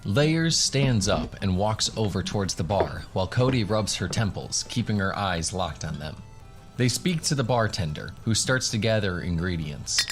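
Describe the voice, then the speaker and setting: A man's voice: fairly low pitch, expressive, normal speed, faint music behind. A man narrating a scripted fantasy audio drama in the role of game master.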